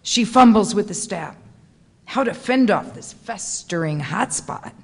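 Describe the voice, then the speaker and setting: Female narrator for dramatic literary reading, measured and suspenseful, mid-pitched, heard in a large reverberant theatre. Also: lively intonation, husky. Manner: theatrical, suspenseful, deliberate phrasing. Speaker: narrator, stage performer.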